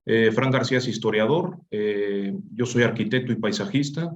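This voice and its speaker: A man's voice: on the low side, normal speed, a little hiss or hum. A man with a Mexican accent, an architect, opening his conference presentation over a video call in a friendly, informal way. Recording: dull video call audio.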